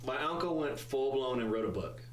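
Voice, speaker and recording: A man's voice: mid-range voice, lively intonation, a little fast, reverberant, slight background noise. A man chatting on a podcast, rambling and stammering through crude jokes. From part Spanish and French heritage. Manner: rambling, stammers and repeats words, crude joking. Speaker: podcast guest. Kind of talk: casual chat.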